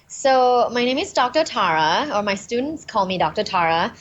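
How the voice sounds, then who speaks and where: A woman's voice: fairly high pitch, highs cut off. A woman from Thailand, a professor guest, cheerfully explaining ideas in an interview. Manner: cheerful, laughs easily, explains clearly.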